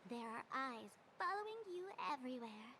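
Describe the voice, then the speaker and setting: A woman's voice: high voice, sing-song, normal speed, noisy background. A woman voicing a mischievous, teasing young trickster in a game dub, playful and theatrical.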